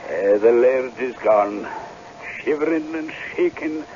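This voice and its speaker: An old Scotsman in a vintage radio drama, full of superstitious fear. His voice sits at a middle pitch with a Scottish accent. The recording is dull, with some hiss. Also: lively intonation, takes it slowly, darkish tone. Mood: fearful, grim.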